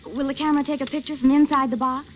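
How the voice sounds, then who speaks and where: A woman's voice: high-pitched, level tone, fast, close to an everyday voice. A woman playing a naive, eager young woman who talks in a gushing, breathless way, in a 1940s radio drama. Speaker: actress. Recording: dull lo-fi 1940s radio broadcast with hiss.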